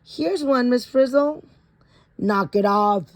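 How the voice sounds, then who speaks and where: A woman voicing excitable, complaining schoolchildren in a storybook, exclaiming and grumbling. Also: a little high, slow pace. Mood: excited.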